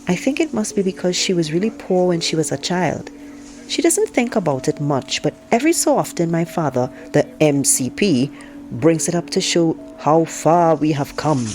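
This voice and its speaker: A woman's voice: low-pitched, lively intonation, moderate pace, a little mellow, soft background music. A woman reading a storybook aloud as an outspoken, indignant teenage girl, in a frank, conversational and sarcastic manner. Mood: wry, exasperated. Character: outspoken, indignant teenage girl narrating her family life. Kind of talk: first-person audiobook read-aloud.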